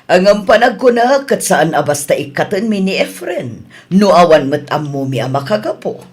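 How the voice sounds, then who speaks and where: A courteous yet firm boss in a radio drama. Her voice is low and rough-edged with lively intonation, Filipino-accented, measured and apologetic. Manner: polite, measured refusal.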